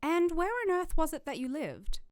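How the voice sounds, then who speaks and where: A woman's voice: very high voice, very animated, normal speed, no room sound, studio quality. A woman playing a chatty, curious amateur radio co-host and Earth enthusiast in an audio drama. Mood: eager.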